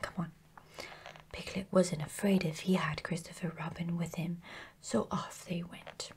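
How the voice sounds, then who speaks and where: A woman reading a children's bedtime story in a hushed, gentle, unhurried ASMR whisper. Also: on the low side, fairly even intonation, husky.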